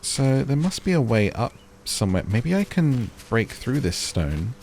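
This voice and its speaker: A man's voice: mid-range voice, very animated, unhurried, a little mellow, a little hiss or hum, low-quality recording. A man doing casual gaming commentary, chatting and musing to himself and to viewers as he plays and reads out on-screen text. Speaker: gaming video host.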